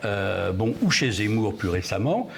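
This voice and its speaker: A man's voice: on the low side, very animated, a little slow, creaky. A man speaking as a guest in a televised political debate, emphatic and sardonic as he argues. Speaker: panel guest.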